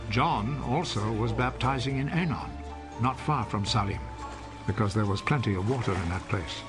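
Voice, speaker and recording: A man narrating a biblical film in a measured, solemn, scripted style. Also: fairly low pitch, close to an everyday voice. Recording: film soundtrack with music behind. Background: music.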